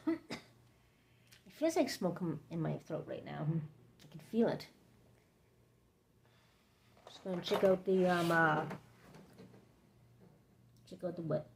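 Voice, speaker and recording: A woman with a hoarse, scratchy throat, hosting a rambling late-night livestream chat from home, halting and drifting between topics. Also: on the low side, a little mellow. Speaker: livestream host.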